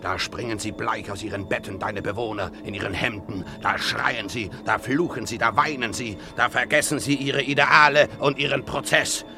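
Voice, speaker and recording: An actor playing a savage, gleeful pirate captain in a radio play, gloating theatrically and relishing destruction. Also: male voice, mid-range voice, fairly even intonation, normal speed, creaky, few pauses, over music, natural voice. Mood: dark gloating. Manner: theatrical, gloating, swinging from murmur to bellow. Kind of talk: German radio play acting.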